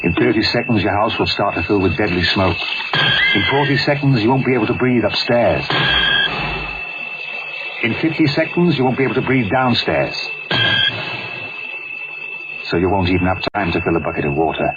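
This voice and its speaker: A man's voice: lowish voice, expressive, moderate pace, long pauses, loud music behind the voice, natural voice. A man playing a stern, urgent announcer in a scripted public information film. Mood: stern warning. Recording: dull, very noisy old film soundtrack.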